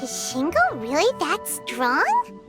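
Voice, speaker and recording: Squeaky, excitable little fairy girl. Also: slow, over music, exaggerated voice. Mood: perky, curious. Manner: perky, excitable. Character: small childlike chirpy fairy companion, female. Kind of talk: animated video game voice acting.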